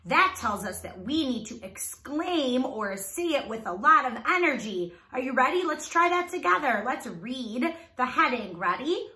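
Female primary teacher, read-aloud for little kids; bright, very expressive delivery, roomy echo. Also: high-pitched, unhurried, few pauses. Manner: animated, enunciated, prompting listeners with questions. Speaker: teacher reading a children's book aloud. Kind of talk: read-aloud lesson for young children.

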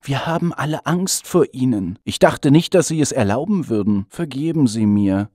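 Man acting a mellow, earnest fairy-tale young man. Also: mid-range voice, expressive, moderate pace, dry recording, clean studio sound, lightly acted. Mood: fearful, pleading. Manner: soft, pleading, earnest.

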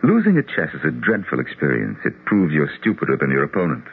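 An actor playing an earnest, thoughtful doctor of psychology in a 1970s radio drama, with a musing, somewhat hesitant manner. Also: male voice, rumbling low, wildly swooping pitch, quick pace, exaggerated voice. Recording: tinny, noisy 1970s radio broadcast.

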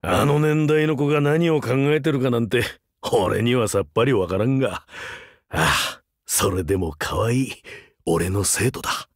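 A male actor playing a rugged older vampire hunter turned teacher in a game, wry, laconic and gruffly fatherly. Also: mid-range voice, animated, normal speed, no echo, studio quality. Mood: gently weary. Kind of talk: Japanese mobile game character voice lines.

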